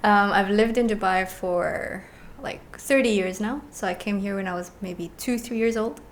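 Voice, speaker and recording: A woman in her thirties, a podcast guest, telling stories about growing up in a relaxed, chatty way and laughing easily. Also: mid-range voice, moderate pace. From born in Egypt, raised in the UAE. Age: 30s. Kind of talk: casual chat.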